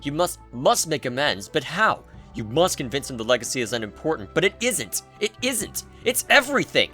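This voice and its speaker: A man giving an intense, theatrical scripted narration of video game writing, with deliberate pauses. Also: on the high side, sing-song, sharp tone, soft background music.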